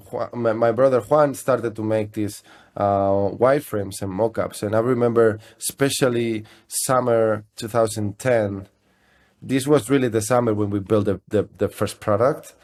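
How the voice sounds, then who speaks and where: A man with a fairly low voice, speaking English as a second language. He talks as an interview guest, recounting things conversationally, often restarting and repeating his phrases. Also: a little mellow. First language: not English. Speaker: interview guest, software company CEO.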